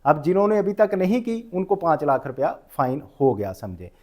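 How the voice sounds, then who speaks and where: A man's voice: a little high, animated, moderate pace, mellow. A man with a Pakistani accent lecturing on rules as an instructor, explaining didactically and restating each point. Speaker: tax lecturer.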